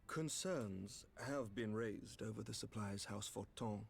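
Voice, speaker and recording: A grave fantasy game knight commander, courteous and formal, gravelly creak, faint noise behind. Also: male voice, on the low side. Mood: measured. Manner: formal, measured, archaic diction. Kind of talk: scripted fantasy game dialogue.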